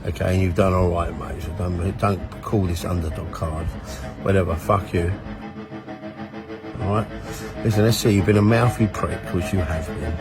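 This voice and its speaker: A man's voice: deep voice, fairly even intonation, moderate pace. A fighter man threatening his opponent in an aggressive, profane trash-talk promo. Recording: music bed behind voice.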